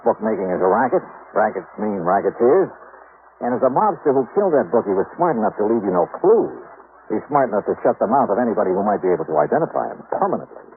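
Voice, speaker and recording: A man's voice: lowish voice, sing-song, quick pace. A man playing a cocky, sarcastic American insurance investigator in a 1950s radio drama. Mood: insistent. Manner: sarcastic, glib. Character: cocky wisecracking insurance investigator, sardonic and brisk. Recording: noisy tinny old radio recording.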